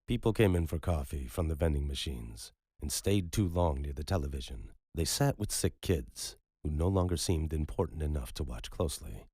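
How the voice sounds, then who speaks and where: A man reading scripted dramatic fiction as an audiobook narrator, in a husky voice. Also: extremely low pitch, animated, moderate pace, few pauses, dark tone, no echo, clean studio sound.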